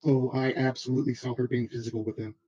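A man telling a story in a flat, subdued way, his voice dark and mellow with very little rise and fall. The sound is muffled and lo-fi, as a replayed clip would be. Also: mid-range voice, fast, husky. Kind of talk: recorded voice clip being played.

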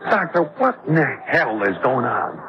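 An actor playing an excitable, jumpy man at a jungle camp in an old-time radio drama, with an urgent manner. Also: mid-range voice, moderate pace, highs cut off. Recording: very noisy old radio, crowd behind.

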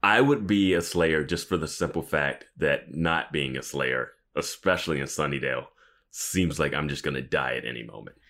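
A man co-hosting a podcast in casual conversation about a TV show, speaking in an animated, exclamatory way. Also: rumbling low, moderate pace. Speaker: podcast co-host.

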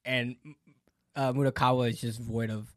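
Man, casual chat, animated scene recaps with laughter, dry close sound. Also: mid-range voice, normal speed, no room sound. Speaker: film discussion co-host. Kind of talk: casual film discussion.

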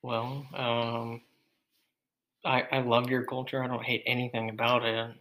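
Bright-voiced man teasing dryly. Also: medium pitch, completely flat delivery, unhurried, low-quality recording. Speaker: podcast co-host. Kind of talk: casual chat with partner.

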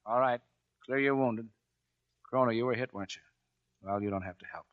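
An actor playing a gruff, commanding, plain-spoken Navy chief who tells his story, in 1940s radio drama style. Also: mid-range voice, a little fast, narrow, tinny audio, natural voice. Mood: subdued. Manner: barking orders, plain-spoken narration.

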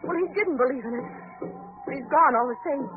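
A sharp, wry woman played by an actress questions briskly as a sleuth's companion. Her voice is very high and quick, in old radio drama manner. The sound is thin and tinny, with music underneath. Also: close to an everyday voice. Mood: uneasy.

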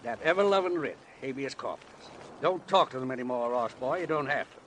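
An actor in an old-time radio drama playing a breezy, cocky lawyer with a theatrical, folksy, gloating manner. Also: male voice, mid-range voice, animated, a little fast, fairly bright tone, natural voice. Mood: gleeful, smug. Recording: faintly noisy vintage radio recording.

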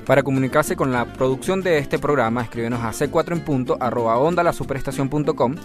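A man's voice: mid-range voice, quick pace. A man from Caracas co-hosting a music radio show, talking in an easygoing way as he tells a story. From Caracas, Venezuela. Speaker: radio co-host. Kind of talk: casual radio chat.

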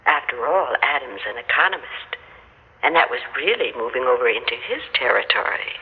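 An actor playing a skeptical, wisecracking inner voice in an old American radio drama, probing and sardonic, with a husky edge. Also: male voice, medium pitch, lively intonation, fairly quick. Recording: tinny phone-like filtered old radio sound.

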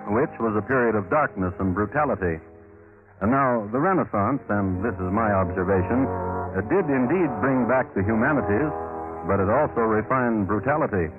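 A man hosting and narrating a scripted 1950s radio crime show in a measured, wry, literary manner. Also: medium pitch. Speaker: host and narrator. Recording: muffled old radio recording with music. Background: music.

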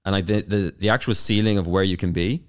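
A young man with an Irish accent, a guest on an interview show, answering in a casual, rapid way full of fillers and restarts. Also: deep voice, steady tone, clear, resonant tone. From Ireland. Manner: fast, filler-heavy with many restarts. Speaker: YouTube consultant, guest.

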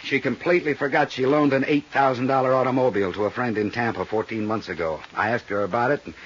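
A man playing a big, easygoing American accountant in his late forties in a 1950s radio drama, husky and chatty, with a folksy, rambling manner. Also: mid-range voice, steady tone, fast, a bit bright, a little hiss or hum, natural voice. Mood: chatty, explaining. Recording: dull, muffled 1950s radio recording.

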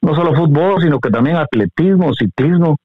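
A middle-aged Guatemalan man, a veteran broadcaster, reminiscing in an interview in a measured, courteous way. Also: fairly high pitch, lively intonation, husky, no echo. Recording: dry, narrow phone-like line.

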